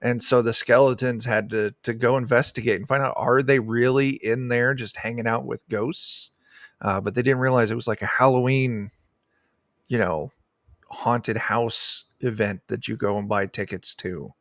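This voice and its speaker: A man with a creaky, gravelly voice explains things casually as an interview guest. The sound is close and dry, and his delivery is informal. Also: medium pitch, fairly even intonation, normal speed, a bit bright, dry recording. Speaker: game designer guest.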